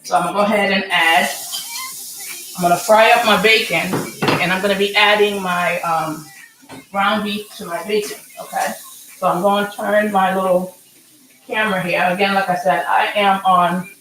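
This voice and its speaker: A woman in her forties from Senegal hosting a casual live cooking stream from her home kitchen, chatty and rambling, often asking "okay?", with a slightly hoarse voice. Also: medium pitch, steady tone, normal speed, roomy sound, noisy background. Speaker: home cook, channel host. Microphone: computer or phone camera mic.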